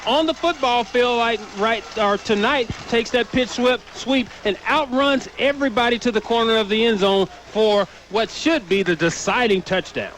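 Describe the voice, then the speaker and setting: An American man giving explanatory, measured football analysis as a radio color commentator. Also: very high-pitched, fairly bright tone. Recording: radio broadcast with faint background noise.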